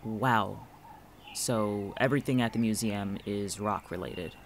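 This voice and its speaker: A man's voice: on the low side, a little slow, slight background noise. An actor playing a guarded, sarcastic male college student in a fiction podcast, with a dry, curt manner.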